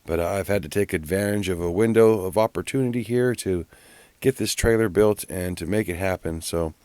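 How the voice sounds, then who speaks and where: A man's voice: deep voice, a little fast, a little mellow, clear, resonant tone, dry recording. A man hosting an outdoor and DIY video channel, narrating in a casual vlog style.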